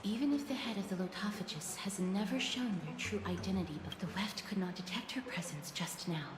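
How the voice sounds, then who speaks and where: Stern game heroine, woman acting, guarded, formal, measured, echoing, music underneath. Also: mid-range voice, lightly acted. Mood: cautious, formal. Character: guarded, stern young woman, a servant of death. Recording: echoing reverb with music behind.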